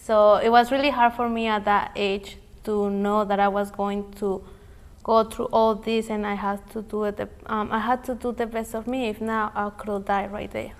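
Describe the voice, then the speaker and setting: Flat-voiced woman from Mexico. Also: medium pitch. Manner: careful, halting. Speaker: youth immigrant activist guest. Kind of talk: interview answers.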